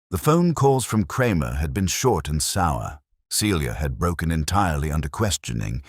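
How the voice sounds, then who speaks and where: Very deep-voiced man narrating detective fiction. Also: no room sound, studio quality. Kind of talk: scripted detective story narration, first person.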